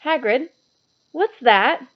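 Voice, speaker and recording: A woman's voice: sits high, lively intonation, slow, muffled recording, voice pushed up. A woman voicing an inquisitive, earnest, slightly anxious young schoolboy in a children's storybook. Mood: uneasy.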